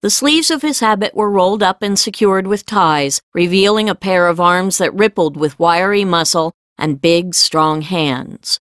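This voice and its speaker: A woman reading scripted fiction as an audiobook narrator, with measured storytelling delivery. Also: fairly low pitch, a bit bright, no room sound, studio quality.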